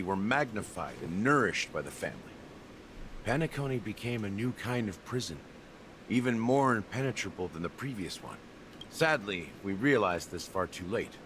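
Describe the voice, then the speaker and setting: A male actor playing a worldly veteran schemer in a video game, recounting old conspiracies in measured, expository lines over music. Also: on the low side, fairly bright tone, slight background noise. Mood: grave, ominous. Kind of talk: scripted video game dialogue.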